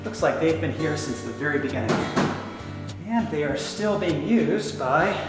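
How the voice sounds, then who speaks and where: A man's voice: on the high side, animated, moderate pace. A man hosting a guided tour documentary, presenting to camera in a chatty, playful way. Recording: echoey hall reverb, music behind.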